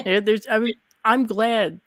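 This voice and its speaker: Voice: mid-range voice, steady tone, measured pace, fairly bright tone, dry recording. Chatty livestream host, close dry sound. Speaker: host interviewer of a horror livestream. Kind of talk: casual livestream interview chat.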